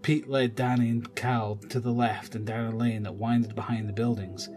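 A man's voice: medium pitch, flat delivery, normal speed, music in the background. A man narrating a scripted horror audio drama.

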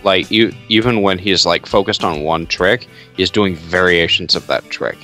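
A man's voice: low-pitched, steady tone, normal speed, sharp tone. A young man giving casual commentary and banter as a co-host on a video call. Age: young adult. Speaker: co-host of a track review stream. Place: home room on a group video call. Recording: music playing behind the voice. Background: music with guitar.

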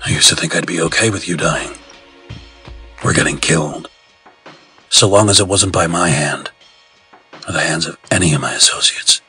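A man's voice: rumbling low, normal speed, pauses often, pushed and bright. A man playing a grim, tormented masked vigilante in a comic dub, speaking in a restrained, solemn growl. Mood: grave, tormented. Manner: restrained, solemn, brooding delivery. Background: music.

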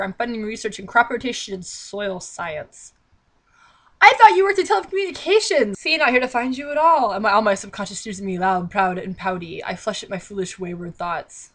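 A woman's voice: mid-range voice, animated, brisk, a bit bright. A young woman reading a book aloud and mocking it with sarcastic, exasperated, theatrical commentary, recorded on a webcam in a small bedroom. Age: young adult.

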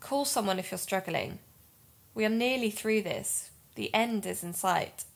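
A woman's voice: mid-range voice, animated, unhurried, vocal fry. Earnest woman podcaster in audio drama played by an actress. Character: Gemma, earnest supportive woman investigative podcaster. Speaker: podcast host.

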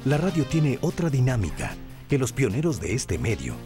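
A man's voice: medium pitch, huge pitch swings, normal speed. A man with a Mexican accent reading scripted documentary narration. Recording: music bed under narration.

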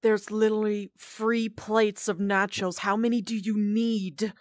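A woman's voice: mid-range voice, flat delivery, unhurried, darkish tone, dry recording, lightly acted. A woman voicing a stern, sarcastic female lieutenant who scolds and mocks her boss in a comedy roleplay. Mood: scolding.

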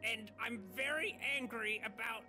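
A man's voice: very high-pitched, unhurried. A man voicing an eager, earnest young cartoon character in an improvised comedy dub, music behind.

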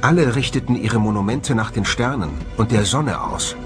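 A man delivering scripted documentary narration in a measured, dramatic way, as the presenter of the film. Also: medium pitch, over music.

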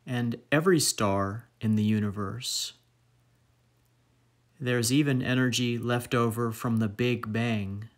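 A man leading a guided meditation as instructor, speaking in measured phrases with long pauses. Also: mid-range voice.

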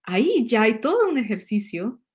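A woman's voice: on the high side, big pitch swings, normal speed. A woman in her thirties from Colombia with a Colombian accent, hosting a casual spiritual video-call conversation; she tells stories animatedly, laughs often and repeats words. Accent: Colombian Spanish. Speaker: host, astrologer. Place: home room, indoors. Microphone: video call webcam.

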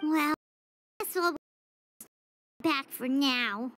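Squeaky, childlike little fairy companion. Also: female voice, very slow, background noise. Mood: curious. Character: small floating fairy companion, childlike, chatty and excitable. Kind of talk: video game voice acting.

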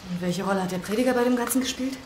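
A woman's voice: fairly high pitch, racing, darkish tone, slight background noise, close to an everyday voice. A woman playing an anxious, indignant survivor in a radio drama, urgent and questioning. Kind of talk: German radio drama acting.

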